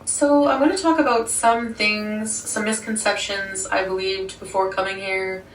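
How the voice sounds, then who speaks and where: A young woman chats to her viewers in a loose, rambling way, tossing in fillers and little laughs. Her mid-pitched voice bounces around a hard room and sits a step back from the microphone. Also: steady tone, unhurried, reverberant, recorded from a distance, slight background noise, rough recording. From Ottawa, Canada. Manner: chatty, rambling, with frequent "like" and small laughs. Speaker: vlogger. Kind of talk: sit-down talk vlog.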